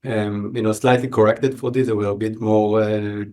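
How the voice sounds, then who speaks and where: Israeli guy being interviewed, lowish voice, thinks out loud with lots of pauses. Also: little pitch movement. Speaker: podcast guest, entrepreneur. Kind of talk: reflective conversational interview answers.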